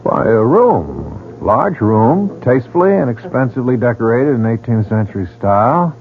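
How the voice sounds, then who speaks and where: A man's voice: mid-range voice, big pitch swings, normal speed, creaky. A man playing a curious, guarded newcomer to a mysterious club in an old radio drama. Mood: wary. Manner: inquisitive, wary. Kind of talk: 1940s radio drama acting. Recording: phone-like old radio broadcast.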